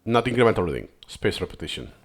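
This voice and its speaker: Catalan-native guy reacting to a clip, voice bouncing up and down, thinking out loud. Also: on the low side, very animated, fast talker, crowd noise. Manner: thinks aloud, digresses, corrects himself mid-sentence. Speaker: video host reviewing footage. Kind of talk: thinking-aloud commentary.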